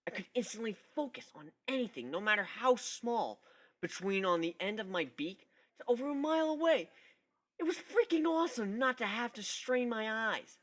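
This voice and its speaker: A man voicing a cocky, introspective young male griffin in an expressive dramatic story reading. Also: sits high, moderate pace, voice pushed up. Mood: intense, proud. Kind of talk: dramatic first-person fan fiction reading.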